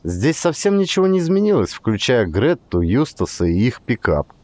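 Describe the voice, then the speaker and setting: A man's voice: medium pitch, sing-song, moderate pace, darkish tone. A man giving dramatic scripted narration as an audiobook narrator.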